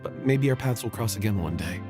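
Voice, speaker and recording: Actor doing a quiet polite guy in a game, kinda low voice, music loud behind. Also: expressive, a little fast, close to an everyday voice. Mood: subdued. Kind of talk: game voice acting.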